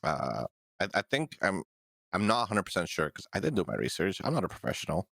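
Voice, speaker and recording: A man's voice: low voice, big pitch swings, fast talker, creaky, a bit bright, no echo, clean studio sound. A man co-hosting a gaming podcast in casual, profane chat. He jokes a lot and laughs often. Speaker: podcast co-host.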